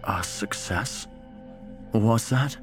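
A man's voice: lowish voice, very slow, over music, voice pushed up. A man voicing a confused, frightened man at a party in a horror story, who begs and then bellows in panic. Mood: puzzled.

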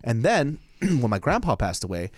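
A man co-hosting a podcast, telling stories in a casual, profane and animated way with plenty of laughing. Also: medium pitch, normal speed, dry recording, studio quality. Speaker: podcast co-host. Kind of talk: casual chat.

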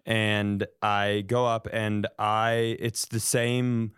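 A man in casual comedic storytelling as a podcast co-host, animated and self-deprecating. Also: on the low side, very slow, clear, resonant tone, no room sound, clean studio sound. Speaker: podcast co-host telling stories.

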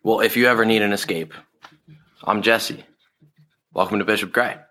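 Chill teasing high school senior, deep voice with a bright edge, drama podcast. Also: male voice, steady tone, normal speed. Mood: playful.

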